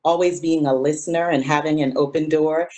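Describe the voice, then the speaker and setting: Low, mellow-voiced upbeat woman provost. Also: steady tone, fairly quick. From New Jersey. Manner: upbeat, enthusiastic, easygoing. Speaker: college provost. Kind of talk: virtual town hall remarks. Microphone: video call.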